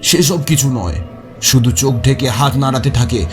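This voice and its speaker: A man's voice: medium pitch, normal speed, natural voice. An actor playing a poor, anxious railway signalman who speaks deferentially and confidingly in an audio horror story. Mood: troubled, weary. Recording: music behind the voice.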